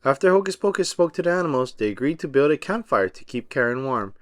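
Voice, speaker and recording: A man narrating a children's storybook aloud as an expressive, emphatic storyteller. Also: medium pitch, quick pace, dry recording.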